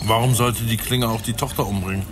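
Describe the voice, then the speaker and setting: Fairly low male voice, actor playing police detective, dry, methodical, wry, radio play. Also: fairly even intonation, fast, music in the background, natural voice. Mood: dry, pondering. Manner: wry, reflective. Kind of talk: naturalistic German radio play acting.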